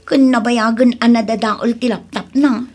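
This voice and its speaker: Woman playing an earnest young woman, high-pitched. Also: steady tone, normal speed, a little mellow. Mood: worried. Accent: Ilocano. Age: young adult. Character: earnest, dutiful young woman chasing a debt. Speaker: actor in a radio drama. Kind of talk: radio drama acting.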